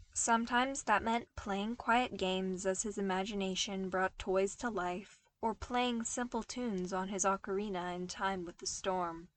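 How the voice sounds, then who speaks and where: Woman narrating a story, medium pitch. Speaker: podfic narrator. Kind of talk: expressive scripted story narration.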